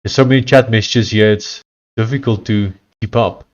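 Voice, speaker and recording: Afrikaans-accented man, lowish voice. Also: steady tone, lo-fi sound. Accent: South African, Afrikaans. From South Africa. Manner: conversational, reads text aloud haltingly. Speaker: livestreaming coder. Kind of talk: casual livestream chat, reading chat and AI output aloud.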